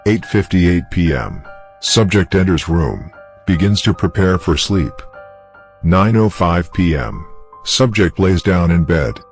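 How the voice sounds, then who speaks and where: A man performs a clinical researcher with a deep voice, reading a case log for a horror story. His delivery is formal and detached. Soft music runs underneath. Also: big pitch swings, slow pace, full character voice. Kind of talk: scripted horror story narration, case report.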